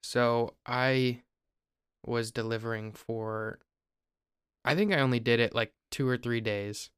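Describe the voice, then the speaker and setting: A young man from Ohio, host of a podcast, explaining things in a relaxed, casual way with small restarts. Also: medium pitch, takes it slowly, clear, resonant tone, dry recording, studio quality. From Ohio, USA.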